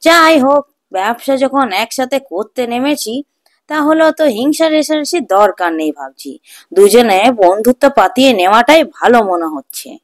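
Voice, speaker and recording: A woman voicing a jealous, scheming middle-aged male street-food seller in a children's cartoon, gruff and sneering. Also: on the high side, lively intonation, normal speed, dry recording, studio quality, natural voice. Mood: falsely friendly. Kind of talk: Bengali children's cartoon dubbing.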